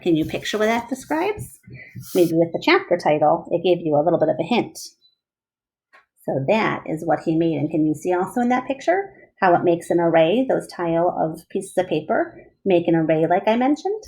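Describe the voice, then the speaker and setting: A woman reading a storybook aloud expressively, like a teacher, pausing to explain things to listeners. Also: fairly low pitch, big pitch swings, darkish tone, low-quality recording.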